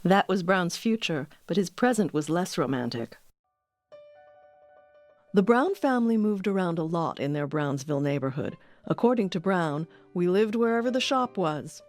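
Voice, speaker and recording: A woman's voice: fairly low pitch, lively intonation, normal speed, darkish tone, soft background music. A woman reading scripted documentary narration as the narrator, close on a studio microphone.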